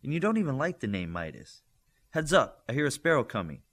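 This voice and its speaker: A man voicing a bold, brisk, teasing teenage girl who reads minds, in an audiobook story. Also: medium pitch, big pitch swings, a bit bright, pitched above the performer's own voice.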